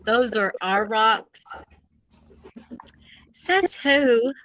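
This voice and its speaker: A woman's voice: a little high, expressive, drawn-out words, a bit bright, rough recording. A woman reading the characters' lines in a children's story in a theatrical, exclamatory way. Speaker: reader of character dialogue. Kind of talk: acted storybook dialogue for children.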